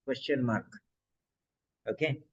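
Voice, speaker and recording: A male nursing teacher running a role-play practice lesson, dictating and spelling out phrases slowly. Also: mid-range voice, lo-fi sound.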